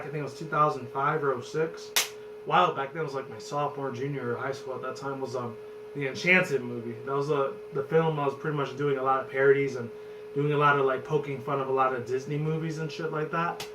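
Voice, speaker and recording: A man in his twenties with a hoarse voice, rambling and cursing loosely as a vlog host talking about movies. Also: mid-range voice, fairly even intonation, quick pace. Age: 20s. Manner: loose and profane, rambles and restarts. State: hoarse from screaming. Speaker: channel host. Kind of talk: rambling casual movie chat. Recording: roomy, distant, noisy with hum.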